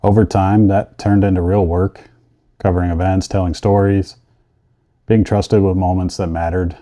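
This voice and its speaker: A middle-aged man narrating his personal story in measured, earnest, deliberate short phrases. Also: sits low, level tone, mellow. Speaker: vlogger telling his own story.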